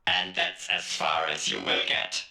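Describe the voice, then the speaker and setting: A man voicing a taunting, condescending cyborg villain for a video game, menacing and mocking, through a robotic pitched-down filter. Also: flat delivery, a little slow, sharp tone, echoey room, slight background noise, full character voice. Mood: smug.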